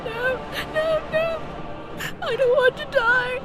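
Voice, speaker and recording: A woman's voice: extremely high pitch, slow, over music. An actress playing a terrified young godling girl in an audio drama, crying and pleading. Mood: sobbing terror.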